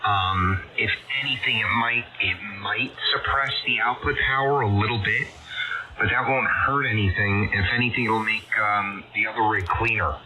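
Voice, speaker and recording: A man's voice: lowish voice, moderate pace. A man in casual technical conversation over amateur radio, with a reassuring, conversational manner, heard through a shortwave transmission. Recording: muffled, noisy ham radio signal.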